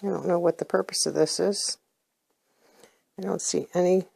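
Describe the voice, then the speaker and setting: Gravelly-voiced woman reviewing a subscription box. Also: fairly low pitch, steady tone, moderate pace, darkish tone. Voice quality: hoarse, clears her throat. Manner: chatty, plainspoken, hesitant with ums. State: getting over a cold, losing her voice. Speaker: unboxing vlogger. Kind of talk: casual product review.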